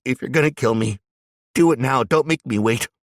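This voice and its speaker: A male narrator playing a scared young gang follower, pleading and shaken. His voice is husky and climbs sharply with fear. The reading is dry and close. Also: mid-range voice, lively intonation, fast, fairly bright tone, no room sound, voice pushed up. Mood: frightened, pleading. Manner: frightened, begging.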